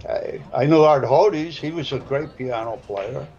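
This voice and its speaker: A man's voice: mid-range voice, unhurried, a little mellow, muffled recording. A man in his seventies with a South Side Chicago accent, giving rambling, folksy color commentary on a pool match. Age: 70s.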